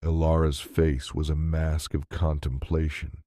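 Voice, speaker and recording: A man with an extremely deep voice narrates a science fiction audiobook. His tone is dark and mellow, the delivery scripted storytelling. The sound is dry and close. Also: level tone, measured pace, dry recording.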